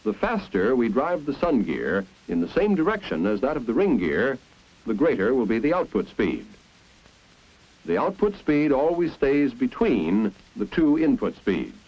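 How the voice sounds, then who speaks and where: Lively 1950s American training-film narrator, male. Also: medium pitch, a little slow. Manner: clear didactic explaining. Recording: dull, muffled 1950s film soundtrack.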